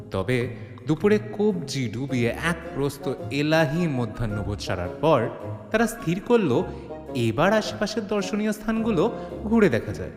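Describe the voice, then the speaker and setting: A man delivers dramatic scripted narration in an animated, slightly high voice. He sounds theatrical and wryly colloquial, with reverberant sound and music playing behind him.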